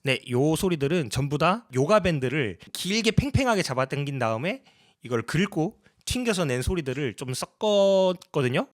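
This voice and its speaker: A man's voice: fairly high pitch, sing-song, normal speed, dry recording. A man narrating a casual tutorial as a sound designer, explaining each step politely.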